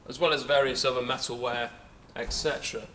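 A man's voice: mid-range voice, steady tone, fairly quick, bright, forceful tone, a little hiss or hum. A young man, an auctioneer giving a casual, hesitant walkaround preview of his sale in a saleroom, recorded on a camera mic. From England. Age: young adult. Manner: chatty, hesitant with many fillers. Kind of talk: informal walkaround sales preview. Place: large indoor auction saleroom.

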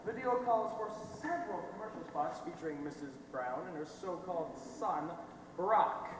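Far off in an echoing theatre, a young man plays a brash reporter on stage. He swings his pitch widely and punches his words in slangy, theatrical bursts. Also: lively intonation, moderate pace. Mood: slick, dramatic. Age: young adult. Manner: theatrical, slangy, emphatic delivery. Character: brash, fast-talking male reporter exposing a scandal. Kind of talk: amateur stage play acting. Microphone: distant microphone. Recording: echoey theatre hall from far off, noisy.

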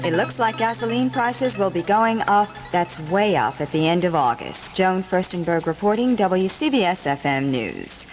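A woman's voice: on the low side, expressive, normal speed, hardly any pauses, over music, rough recording. A woman reporting short news and weather bulletins in a 1970s radio style.

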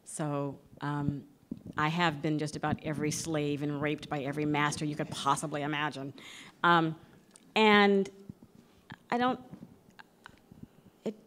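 A middle-aged woman, an actress, speaking off the cuff on a panel with expressive emphasis that moves between loud and hushed. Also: low voice, a little slow, long pauses, a little hiss or hum. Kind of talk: unscripted panel discussion. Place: large theatre stage before an audience. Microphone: microphone on a stand, close.

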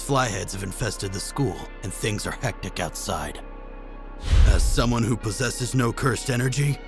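A cold-blooded, cocky anime assassin voiced by a man speaks in a lowish pitch over prominent background music. He is nonchalant and taunting, casually cruel in everything he says. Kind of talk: amateur anime manga dub acting.

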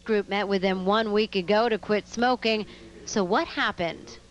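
A woman in her thirties reads a news feature voice-over, even and crisp, with a practiced reporter's rhythm. Her pitch sits in a middle range. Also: muffled recording. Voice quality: measured, crisp reporting delivery. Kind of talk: scripted news narration.

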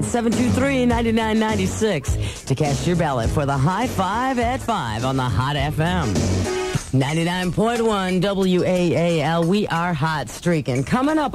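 Female radio DJ, upbeat music-station delivery, mid pitch, music underneath. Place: radio studio.

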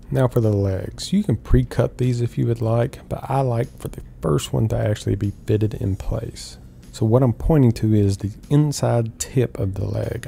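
A middle-aged man calmly explaining woodworking steps one at a time in an instructional voice-over. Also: fairly low pitch, vocal fry, a little hiss or hum. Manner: calm, step-by-step explaining. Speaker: woodworking host.

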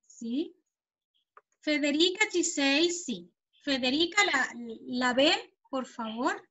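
High-voiced Venezuelan woman teaching Spanish. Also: expressive, bright, forceful tone. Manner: patient, explains slowly, checks understanding with "¿sí?". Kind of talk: online language lesson. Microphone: computer mic on a video call. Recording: muffled video call audio.

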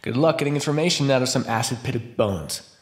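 A man's voice: medium pitch, a little fast, close to an everyday voice. A man playing a defiant captive vampire in an ASMR roleplay, talking with sarcastic, taunting flippancy. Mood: irritated, defiant. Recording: roomy echo.

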